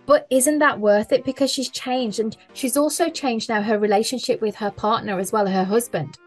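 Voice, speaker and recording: Earnest woman, higher voice. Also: steady tone, normal speed, faint music behind. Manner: earnest, emphatic, repeats key phrases. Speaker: mindset coach and hypnotherapist. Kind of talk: conversational podcast talk.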